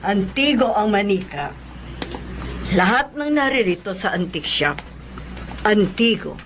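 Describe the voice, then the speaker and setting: An actress playing a firm antique shop keeper in a radio drama, assertive and deliberate. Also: female voice, medium pitch, sing-song, noisy background.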